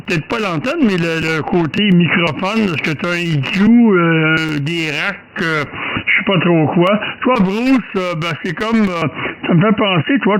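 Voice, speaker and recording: Slow, higher-voiced Quebec man chatting on radio. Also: fairly bright tone, rough recording. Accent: Quebec French. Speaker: amateur radio operator leading a round-table contact. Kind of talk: casual radio chat. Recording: radio chatter with faint noise and clicks.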